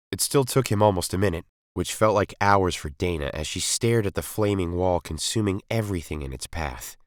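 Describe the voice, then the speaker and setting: Low-voiced man narrating, close and dry. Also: dry recording. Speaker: story reader. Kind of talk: scripted narration of science fiction story.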